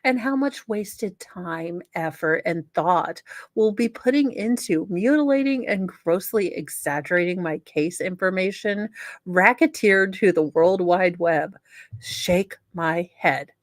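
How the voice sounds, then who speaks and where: A woman's voice: on the low side, animated, a little slow, no room sound, clean studio sound. A woman hosting a comedy show, mixing theatrical, sarcastic dramatic reading with casual commentary. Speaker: show host.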